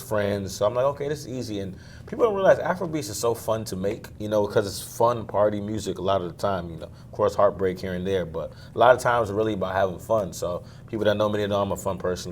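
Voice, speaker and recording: Low-voiced young guy chatting easy in an interview, laughs a lot, faint low hum in the back. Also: fast, hardly any pauses. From Maryland, USA. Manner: relaxed, laughs easily. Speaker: guest singer. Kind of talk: casual interview talk.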